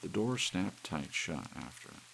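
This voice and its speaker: A man reading a story as an ASMR audiobook narrator, with soft rain and a light hiss behind him. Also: low voice, very animated, measured pace, creaky, low-quality recording.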